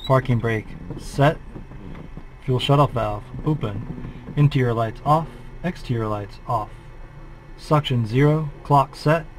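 A man's voice: medium pitch, slight background noise, rough recording. A flight instructor in his forties, calling out a preflight checklist in a methodical, clipped way from a small plane cockpit on a headset.

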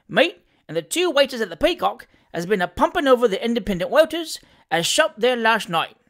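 A man's voice: very high voice, sing-song, a little fast, a bit bright, voice pushed up, heavily acted. A man voicing a breezy, cheeky Cockney manservant in an audiobook, talking emphatically and colloquially. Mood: cheerfully emphatic.

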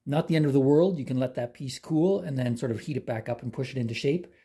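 Mellow, husky-voiced man demonstrating a repair. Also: medium pitch, fast talker. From Canada. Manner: relaxed, plain-spoken, talks while working. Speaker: DIY channel host. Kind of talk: hands-on how-to demonstration. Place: garage workshop.

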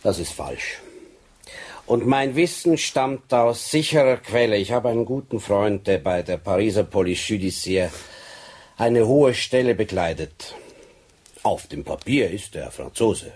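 An actor playing a methodical police sergeant in a radio crime drama, speaking deliberately and insistently with a husky voice. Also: male voice, fairly low pitch, lively intonation, a little mellow. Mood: methodical, pointed. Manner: deliberate, insistent, explaining step by step. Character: methodical Swiss police sergeant laying out a case.

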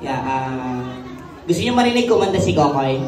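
A man's voice: mid-range voice, very animated, moderate pace. A young man with a Filipino accent, instructing and bantering as a children's party entertainer, switching between languages. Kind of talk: live puppet show patter with audience. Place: decorated indoor party venue with audience. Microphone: handheld mic on PA, filmed by audience phone. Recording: roomy party hall PA, distant phone, noisy crowd.

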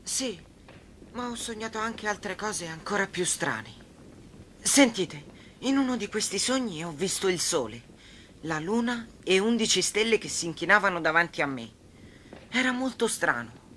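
An actor voicing a naive, trusting teenage boy, the youngest brother, in an animated film dub. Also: medium pitch, normal speed, slight background noise. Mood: earnest, guileless. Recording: music behind the dialogue.

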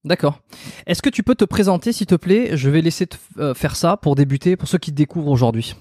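A man hosts a relaxed interview chat, full of fillers and restarts. His voice is mellow and dark, close on a boom mic in a dry home room. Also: brisk, no room sound. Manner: hesitant, many fillers and restarts. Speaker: podcast host, osteopath. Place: home room with lamp, video call. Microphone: studio mic on boom arm, close.

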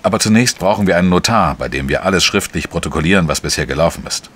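An actor playing a world-weary, wry male investigative journalist, narrating a crime audio drama in a dry, measured way. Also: low-pitched, animated, a bit bright, breathy edge, heavily acted. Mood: brisk, instructing. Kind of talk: scripted first-person crime drama narration. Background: music.

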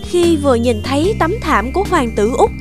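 A woman's voice: high-pitched, expressive, normal speed. A woman narrating a scripted children's fairy tale. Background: background music.

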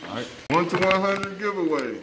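A man's voice: high-pitched, animated, moderate pace, background noise. A middle-aged man hosting a giveaway as a barber, chatting casually and playfully with customers and often repeating himself. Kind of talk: casual vlog chat with customers. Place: barbershop, indoors. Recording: echoey room with shop chatter.

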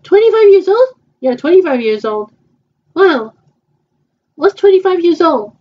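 A young woman in her twenties, improvising a roleplay dialogue in a hesitant, halting way, with many repeats and fillers. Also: very high voice, big pitch swings. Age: 20s. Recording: muffled lo-fi home recording.

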